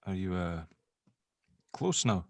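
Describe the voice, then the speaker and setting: A gruff father with a fairly low voice played by a man in a drama script read. He speaks colloquially and a little haltingly, clumsy and keen to please as an ex-convict trying with his grown child. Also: big pitch swings, no room sound, heavily acted. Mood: awkward, tentative.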